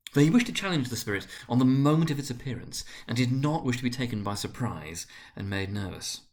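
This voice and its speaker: A man reading a story aloud as narrator, in a dramatic literary style with theatrical, deliberate phrasing. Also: lowish voice. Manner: theatrical, deliberate phrasing with emphatic swells and hushed drops.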